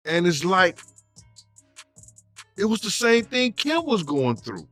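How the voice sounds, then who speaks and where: A man's voice: sits high, very animated, slow pace, a bit bright, faint music behind. A man speaking casually in an interview, emphatic and slangy, repeating his points.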